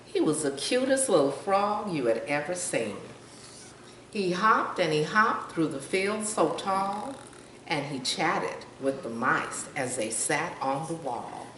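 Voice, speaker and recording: A woman's voice: deep voice, animated, slow pace, a bit bright. An older woman reading a children's picture book aloud, pointing out pictures and putting questions to young listeners. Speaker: storytime reader. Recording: roomy, distant mic, faint noise.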